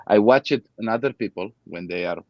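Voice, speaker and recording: Romanian guy in his 30s, lowish voice, kinda muffled dry sound, stops to find english words. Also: no room sound. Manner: halting, searching for English words. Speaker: guest. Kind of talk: casual interview chat.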